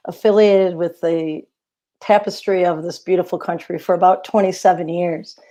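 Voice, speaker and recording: A woman's voice: lowish voice, steady tone, moderate pace, warm tone. Chatty middle-aged woman on a call. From not Australia. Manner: easygoing, chatty, many fillers. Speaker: guest singer-songwriter. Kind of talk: casual interview chat.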